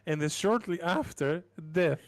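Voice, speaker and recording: A man's voice: high voice, expressive, measured pace, no echo, studio quality. A man playing a tabletop roleplaying game, chiming in casually with short phrases.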